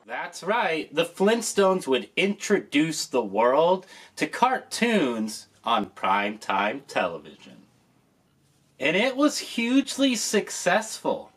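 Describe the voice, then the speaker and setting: A man's voice: a little high, huge pitch swings, slow pace, background noise. A man hosting a nostalgic commentary, talking about an old show with enthusiasm and emphasis. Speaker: host.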